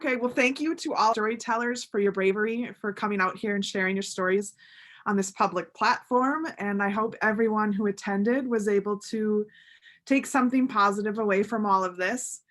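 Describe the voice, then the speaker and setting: Woman, event host, average pitch, informal spoken remarks to an audience. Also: normal speed, fairly bright tone.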